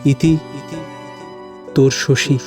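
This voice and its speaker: A man's voice: mid-range voice, level tone, extremely slow. A man playing an anguished young village lover voicing his farewell letter in an audio story, earnest and pleading, with music behind. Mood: tender, sorrowful.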